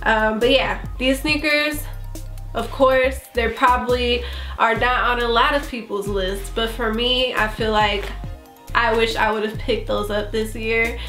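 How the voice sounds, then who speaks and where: A woman's voice: fairly high pitch, steady tone, unhurried, fairly bright tone. A woman with a congested, nasal voice from bronchitis, chatting casually as a sneaker vlogger with many fillers. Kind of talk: casual vlog review. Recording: echoey room with faint music.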